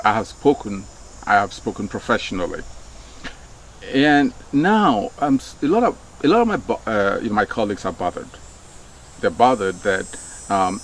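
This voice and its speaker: A middle-aged Nigerian man with a low, gravelly voice speaks in an easygoing, reflective way. He fills his pauses with "um" and "you know" and lifts his voice when he drives a point home. Faint background noise with insects sits behind him. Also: low-quality recording. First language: Nigerian Pidgin. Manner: unhurried, many fillers, rises when stressing a point. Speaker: speaker, former broadcaster. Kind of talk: casual reflective talk.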